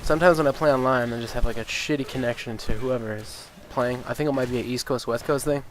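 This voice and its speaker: A man's voice: mid-range voice, fast talker. Casual gamer guy with a sniffly cold, rambling and swearing through his commentary, faint hiss behind. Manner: rambling, with many ums and uhs, and swears freely; gets excited and incredulous about game balance. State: stuffy, sniffly nose. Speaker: gaming YouTuber.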